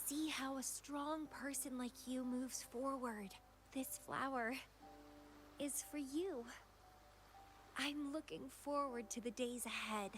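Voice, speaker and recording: High-voiced, teasing, obsessive young woman. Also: fairly even intonation, takes it slowly. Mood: coy, admiring. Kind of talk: anime-style video game voice acting. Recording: loud music behind the voice. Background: music.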